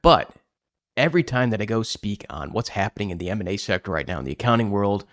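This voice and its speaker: A man's voice: lowish voice, sing-song, quick pace, a bit bright, no echo, studio quality. A man with a Chicago accent co-hosting a podcast in casual chat, joking and self-deprecating. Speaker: podcast co-host.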